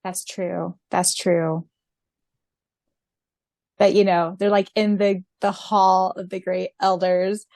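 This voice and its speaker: A woman's voice: lowish voice, level tone, slow, long pauses, darkish tone, dry recording. A woman co-hosting a podcast in a casual, chatty conversational style. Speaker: podcast co-host and audiobook narrator.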